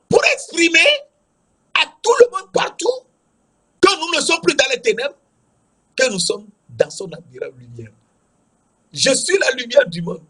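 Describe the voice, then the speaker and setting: Guy preaching w/ west african french accent, really raspy voice, pitch jumps all over, repeats stuff for emphasis. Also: fairly bright tone. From Togo. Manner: emphatic, repeats key phrases. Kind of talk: sermon.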